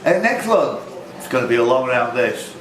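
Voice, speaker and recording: A man's voice: medium pitch, sing-song, normal speed. A man hosting a live pub quiz, reading out questions and teasing contestants with jokey banter. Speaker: quiz host. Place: pub, indoors. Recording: echoey, noisy pub room.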